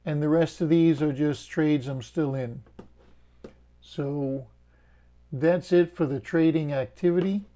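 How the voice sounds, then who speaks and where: A middle-aged man from the Bahamas with a Bahamian accent, casually walking through his trades and explaining his rules in a plain, steady way. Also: a little high, slow, long pauses. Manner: plain, steady explaining with fillers and folksy jokes. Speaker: options trading channel host. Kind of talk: casual screen-share walkthrough of trades.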